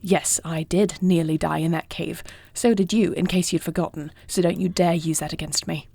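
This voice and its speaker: A woman's voice: lowish voice, steady tone, moderate pace, creaky, darkish tone, slightly rough, no room sound. A woman playing a proud, dutiful young-married warrior princess who can shift into a dragon, speaking formally in a scripted audio roleplay. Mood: angry, defiant. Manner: formal, regal phrasing. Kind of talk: scripted audio roleplay acting, one side of a dialogue.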